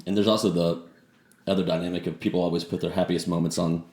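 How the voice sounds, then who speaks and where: Really deep voiced host guy, talks fast, dark tone, roomy echo. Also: level tone. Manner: quick reactive interjections and follow-up questions. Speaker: podcast host. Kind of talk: casual interview chat.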